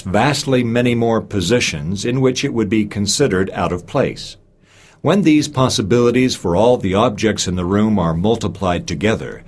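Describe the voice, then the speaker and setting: A man reading a lecture aloud in a measured way, giving expressive emphasis to key phrases and quotations. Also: lowish voice. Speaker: reader of a recorded speech.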